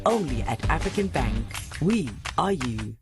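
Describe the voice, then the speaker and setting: A woman with a low voice reads a polished advertisement script. Her delivery is persuasive and swings widely in pitch. Clear background music plays under her. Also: big pitch swings, measured pace. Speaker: advert voice-over. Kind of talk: scripted ad read. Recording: ad audio over music.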